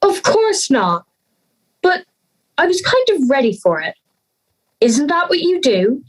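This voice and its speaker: A woman's voice: sits high, big pitch swings, slow pace, full character voice. A young woman playing an earnest, anxious schoolgirl in an audio drama. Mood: bemused, apologetic.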